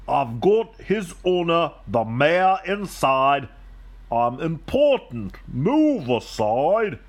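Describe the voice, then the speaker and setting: A performer voicing a self-important, pushy limousine from a storybook in a haughty, drawn-out manner. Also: medium pitch, sing-song.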